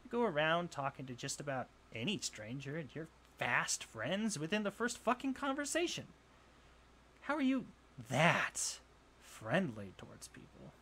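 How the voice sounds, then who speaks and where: A man's voice: a little high, very animated, pushed and bright, slight background noise, full character voice. A young man playing a jealous, insecure college bully in a roleplay audio drama, scoffing, sighing and defensive. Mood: bitter, jealous. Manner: scoffing, sighing, swearing, halting when flustered.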